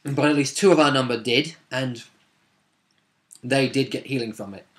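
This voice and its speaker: A man's voice: medium pitch, normal speed, fairly bright tone. Rambling man recounting a game session. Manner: rambling, wordy, with asides and self-corrections. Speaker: vlogger recapping a tabletop roleplaying session. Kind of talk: casual unscripted storytelling recap.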